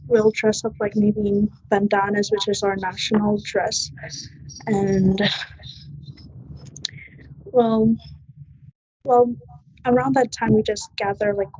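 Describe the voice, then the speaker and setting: Student from Jamaica, teen girl; slow, husky, halting, muffled lo-fi take. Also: mid-range voice, level tone, mellow, no echo. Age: teens. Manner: hesitant, searching for words. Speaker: student guest. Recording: muffled lo-fi recording.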